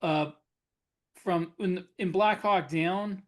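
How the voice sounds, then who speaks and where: A man's voice: high voice, slow. A man co-hosting a podcast, talking hesitantly and searching for his words. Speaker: podcast co-host. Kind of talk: casual chat.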